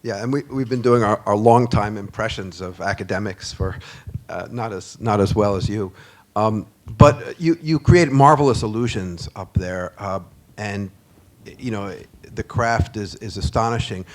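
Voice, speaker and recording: A man with a slightly low voice asks a question from the audience. He sounds like an academic feeling his way, hesitating and restarting phrases. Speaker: professor asking an audience question.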